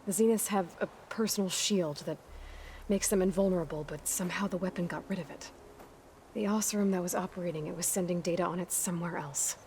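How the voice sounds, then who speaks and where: Woman playing a determined young hunter in a game, lowish voice, calm and decisive, sorting out clues. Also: fast talker, a little hiss or hum, natural voice. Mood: focused. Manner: focused, decisive, quietly analytical. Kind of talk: video game cutscene acting.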